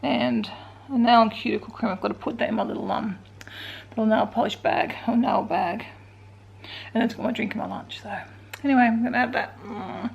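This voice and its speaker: Tired, croaky Australian woman vlogging at home. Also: on the high side, fairly even intonation, brisk, highs cut off. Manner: tired, rambling, self-talking. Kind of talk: casual home vlog. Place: indoors at home.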